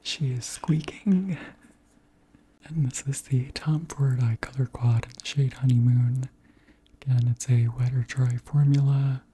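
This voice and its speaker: A beauty creator around thirty speaking softly in a whispery, breathy voice, gently chatting through products in an unhurried ASMR style. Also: mid-range voice, fairly even intonation, a little hiss or hum, rough recording. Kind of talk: soft-spoken ASMR chat and product show-and-tell.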